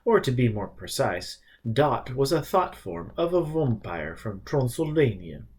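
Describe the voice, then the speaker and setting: A man voicing a calm, patient male teacher in an audiobook, reasoning and explaining with a gentle, teasing touch. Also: medium pitch, a little slow, husky. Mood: playful.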